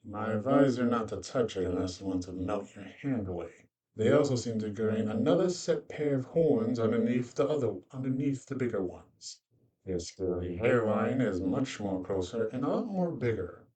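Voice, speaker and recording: A man narrating an informal fantasy creature guide in halting, loosely phrased explanations. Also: lowish voice, dark tone, far from the mic.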